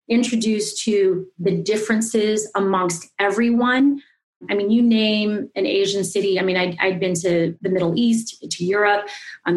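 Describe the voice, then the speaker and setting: A woman from Singapore, a podcast guest, telling her story in an effusive, animated way with frequent restarts. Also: mid-range voice. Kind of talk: interview, personal storytelling.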